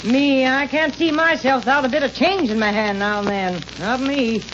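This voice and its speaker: Scornful, plain-spoken rural American woman actress, high pitch, tart delivery, muffled vintage radio drama recording. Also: moderate pace, noisy background, lightly acted. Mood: grumbling. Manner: tart, grumbling, blunt. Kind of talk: 1930s American radio acting.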